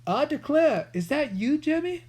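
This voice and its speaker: A reader voicing a friendly, hard-of-hearing old male rat catcher who talks loudly and rambles on. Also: high-pitched, very animated, measured pace, pitched above the performer's own voice. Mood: hearty, half-deaf. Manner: shouts to be heard, garrulous.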